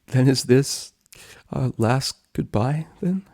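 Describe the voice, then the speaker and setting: A man's voice: mid-range voice, expressive, takes it slowly, dark tone, pitched above the performer's own voice. A man voicing a huge male dragon with a childlike heart, pleading and emotional in a dramatic story reading. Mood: sorrowful.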